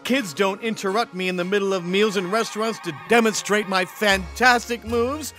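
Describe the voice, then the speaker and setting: A man playing a vain, excitable alien leader and former dancer in a comic sci-fi audio drama, theatrical and gushing. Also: sits high, normal speed, faint music behind. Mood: wounded, self-pitying.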